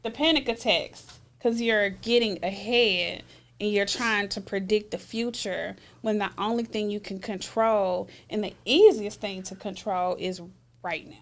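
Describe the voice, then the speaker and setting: A woman hosts a relaxed group discussion in the role of a therapist. Her voice sits at a middle pitch, and she moves between short questions and plain explanations. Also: measured pace. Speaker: host, therapist. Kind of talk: casual group conversation, explaining.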